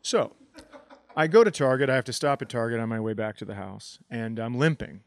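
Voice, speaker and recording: A man co-hosting a comedy podcast, telling casual stories and acting out the dialogue in an animated way. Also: mid-range voice, brisk, vocal fry. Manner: animated, acts out quoted dialogue.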